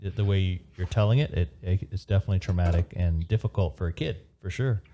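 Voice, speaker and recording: A man in a casual podcast chat speaks with a very deep voice. He jokes at times, but mostly sums things up calmly and reflectively. Clear background noise and clicks run through the recording. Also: a little fast, dull audio.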